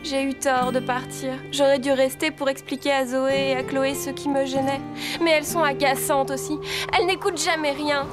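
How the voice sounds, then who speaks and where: Woman voicing a high-voiced, gentle young girl rider. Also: flat delivery, normal speed, close to an everyday voice. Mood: regretful. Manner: soothing, talks reassuringly to a horse. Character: gentle, worried young girl horse rider, lost on trail. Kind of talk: French animated series dub. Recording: background music under voice.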